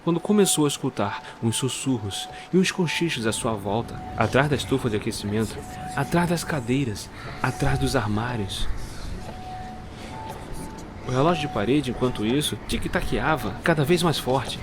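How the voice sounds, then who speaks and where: A man narrating a dark Christmas tale in a measured, suspenseful manner that speaks directly to the listener. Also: mid-range voice, expressive, background noise. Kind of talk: scripted storytelling narration.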